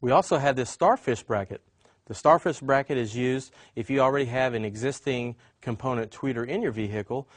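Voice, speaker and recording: A man with a medium-pitched voice gives an instructional product demonstration. He explains each point step by step in the way of a trainer showing how something works. The recording is dry, with very little room sound. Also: a little fast, dry recording.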